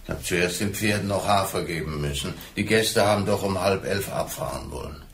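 A man's voice: low voice, brisk. An actor playing a stable hand in his late fifties who answers plainly and a little hesitantly. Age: 50s. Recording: roomy with faint noise.

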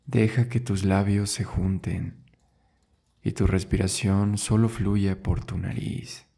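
A man with a low, gravelly voice guides a meditation. He speaks very slowly and soothingly, in short phrases separated by long pauses. His delivery stays very even in pitch. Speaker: yoga and meditation instructor, host.

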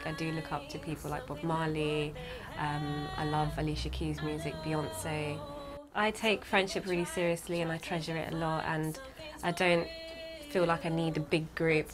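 Low, flat-voiced young woman. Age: young adult. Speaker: student contestant. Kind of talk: self-introduction to camera. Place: bedroom.

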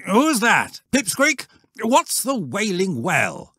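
A man voicing an irritable, hurried adult scoutmaster scolding and giving orders. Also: high voice, wildly swooping pitch, a bit bright, breathy edge, no echo, clean studio sound, voice pushed up, exaggerated voice. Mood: exasperated. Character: exasperated, brisk male scoutmaster.

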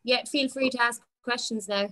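A woman's voice: a little high, fairly even intonation, moderate pace, sharp tone. A woman with an Irish accent moderating a workshop informally over a video call.

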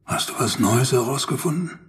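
A man's voice: mid-range voice, lively intonation, moderate pace, a bit bright, breathy edge. An actor playing a concerned middle-aged father in an audio drama. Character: concerned middle-aged father urging his son to rest.

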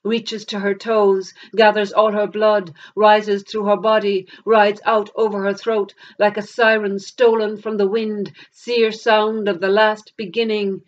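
A middle-aged woman with an Irish accent, a poet reading verse aloud in a measured, deliberate way. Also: medium pitch, level tone. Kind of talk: poetry reading. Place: plain indoor room against a bare wall. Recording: dull lo-fi camera sound.

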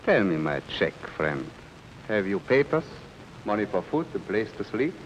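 A man's voice: on the low side, very animated, moderate pace, muffled recording. An actor playing a sly, cheerful middle-aged male refugee con man, wheedling and teasing in a 1950s TV drama. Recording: old TV film sound with faint rain hiss.

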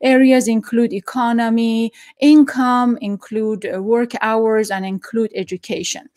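Middle-aged woman host, higher voice, dry close sound, halting with fillers, desk mic. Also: flat delivery, darkish tone, dry recording. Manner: halting, many fillers and restarts. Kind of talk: hosted video interview. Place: home study with bookshelves. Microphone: headphones and desk mic.

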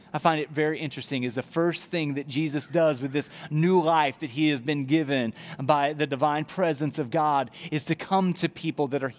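A man in his forties, a pastor, preaching an earnest sermon in a church chapel on a headset mic. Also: medium pitch. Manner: earnest, gestures broadly while preaching. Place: church chapel, indoors, before brick wall.

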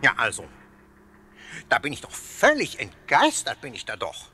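A cheeky little castle ghost for a children's audio play. The voice is rough and husky and leaps all over the scale. It is full of boasting and self-satisfied repetition. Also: male voice, medium pitch, wildly swooping pitch, normal speed, bright, forceful tone, heavily acted. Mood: boastful indignation. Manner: repeats sentence endings, self-satisfied. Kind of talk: children's audio drama acting.

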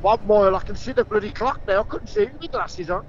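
A man's voice: high voice, fast talker. A man with an English accent chatting casually while out riding a motorbike. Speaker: motorcycle rider. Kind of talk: casual chat on a ride. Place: outdoors on the road. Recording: dull noisy outdoor motorbike audio. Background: motor vehicle and road noise.

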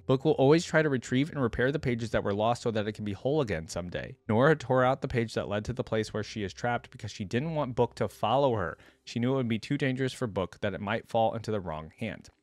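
A young man hosts a reaction video in a casual, expressive way, reading and musing aloud. His intonation swings widely at a middling pitch. The close microphone gives a dry, intimate sound. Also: no echo. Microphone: close desktop microphone.

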